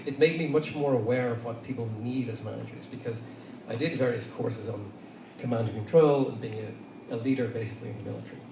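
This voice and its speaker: A middle-aged man with an Irish accent, speaking to an audience in a loose, anecdotal way with many fillers. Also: mid-range voice. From Ireland. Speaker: panel speaker. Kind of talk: conversational talk to an audience. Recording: roomy far-off hall recording with noise.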